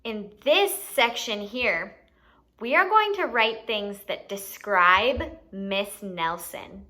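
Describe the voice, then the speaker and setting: A woman's voice: medium pitch, lively intonation, slow, pushed and bright. A woman hosting a children's educational activity, playfully giving instructions and asking questions as if to young kids. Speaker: children's story time host and teacher.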